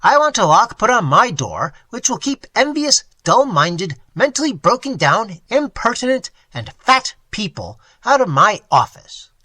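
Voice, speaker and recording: A man voicing an excitable, nearsighted little male scientist who shouts angrily. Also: moderate pace, no room sound, full character voice. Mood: ranting. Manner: shrill, angry, shouting.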